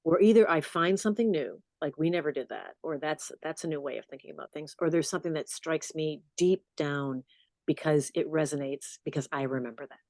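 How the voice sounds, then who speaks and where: A middle-aged woman journalist with a low voice, answering interview questions in a storytelling manner with animated asides. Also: brisk, no echo. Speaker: journalist and podcast host.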